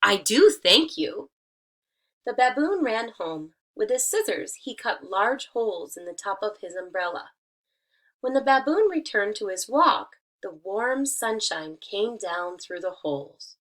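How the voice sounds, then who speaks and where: A woman speaks as a teacher reading aloud to young children. Her pitch moves up and down in a lively way around a middling register. She talks straight to her young listeners and explains things in simple terms. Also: measured pace.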